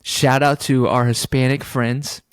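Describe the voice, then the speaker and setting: A man's voice: medium pitch, little pitch movement, measured pace, smooth, no room sound, clean studio sound. A man co-hosting a casual podcast, joking, laughing often and recapping a sermon series. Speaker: podcast co-host. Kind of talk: casual chat.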